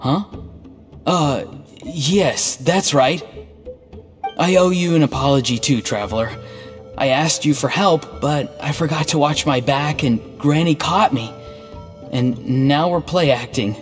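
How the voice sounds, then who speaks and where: A man's voice: on the high side, slow pace, faint music behind. An actor playing an earnest, naive young man in a video game, speaking in a literal, understated way. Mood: apologetic. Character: earnest, naive, deadpan young man, an odd gentle hero.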